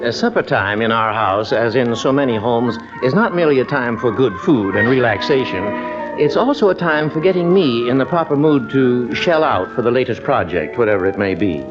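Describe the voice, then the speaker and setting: A man's voice: medium pitch, animated, fairly quick, loud music behind the voice, natural voice. An actor with an American accent playing a wry, stubborn middle-aged doctor father in an old-time radio family comedy, dry and mock-patient. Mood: wry narration. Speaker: narrator and lead character. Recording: muffled old radio broadcast recording.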